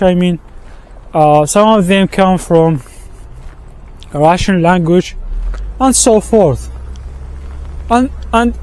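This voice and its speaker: Slow, halting man with an Arabic accent. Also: high-pitched, darkish tone. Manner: halting, many restarts and repeated words. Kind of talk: walking-and-talking vlog monologue. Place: outdoors on a street with passing traffic.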